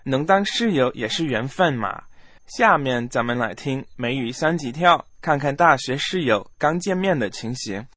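A man's voice: mid-range voice, animated, moderate pace, fairly bright tone. Male co-host: easygoing, curious, mid-range voice. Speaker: radio co-host. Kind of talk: scripted radio chat.

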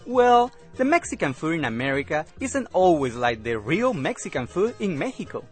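A friendly young college student. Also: male voice, on the high side, sing-song, moderate pace, darkish tone, soft background music. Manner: friendly, chatty. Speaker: dialogue actor. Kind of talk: scripted radio lesson dialogue.

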